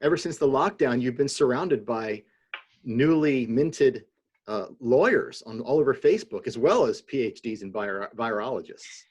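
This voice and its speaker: A man's voice: mid-range voice, lively intonation, moderate pace, darkish tone, husky. A man co-hosting a casual chat over a video call, joking and playful. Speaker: co-host.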